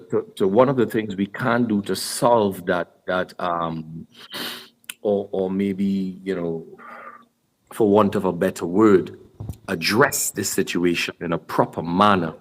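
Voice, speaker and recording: Casual: deep-voiced trinidad guy explaining stuff at a forum, lots of restarts. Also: low-quality recording. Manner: repetitive restarts, earnest, explanatory. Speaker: basketball program speaker. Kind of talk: answering questions at a symposium.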